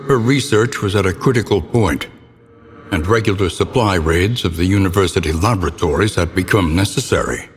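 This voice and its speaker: Man voicing a very deep, ominous gothic game narrator. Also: lightly acted. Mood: grave, macabre. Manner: theatrical, solemn, deliberate delivery. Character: grave, ominous male narrator of gothic horror tales. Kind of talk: dramatic scripted gothic horror narration. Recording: long cavernous reverb, noticeable background noise.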